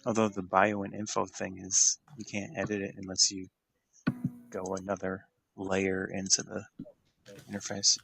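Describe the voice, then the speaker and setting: Low gravelly guy chatting during a tabletop game, laid-back. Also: completely flat delivery, moderate pace, music in the background, poor audio. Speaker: player. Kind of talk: casual tabletop game chat.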